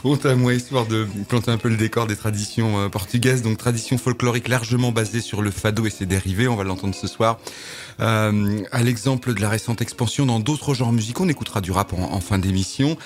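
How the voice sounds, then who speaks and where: Lower-voiced male radio co-host. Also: a little fast. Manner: self-mocking, laughs at his slips. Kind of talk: casual radio chat.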